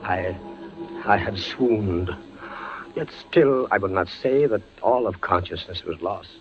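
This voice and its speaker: An actor playing a condemned young army captain, proud but fevered and fearful, in theatrical, halting 1940s radio style. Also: male voice, low-pitched, over music. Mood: dazed, haunted. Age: young adult. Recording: scratchy, muffled 1940s radio recording.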